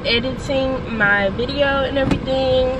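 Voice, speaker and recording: A woman's voice: high-pitched, normal speed, recorded from a distance. A nineteen-year-old woman, stuffed up with a cold, chatting casually and full of slang as she vlogs from her car. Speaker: lifestyle vlogger. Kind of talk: casual vlog chat. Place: parked car. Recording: car camera with loud road noise.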